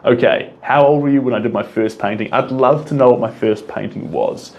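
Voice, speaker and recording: A man's voice: medium pitch, brisk, vocal fry, muffled recording. A young man with a New Zealand accent, an artist casually answering viewer questions, rambling enthusiastically with frequent ums and restarts. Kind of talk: casual Q&A monologue.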